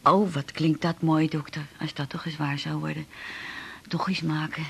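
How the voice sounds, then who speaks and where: An actress playing a scatterbrained, lonely woman in her forties in a radio drama, with a husky voice and a rambling, self-deprecating, colloquial way of talking. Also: low-pitched, little pitch movement, normal speed, fairly bright tone, heavily acted. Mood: wistful. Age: 40s. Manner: rambling, colloquial, tag questions. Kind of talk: naturalistic radio drama dialogue.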